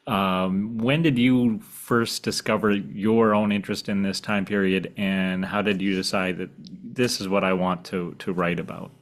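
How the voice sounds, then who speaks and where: A man with a slightly deep voice works as a podcast interviewer. He puts open questions conversationally, measured and feeling his way toward the phrasing. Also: fairly even intonation.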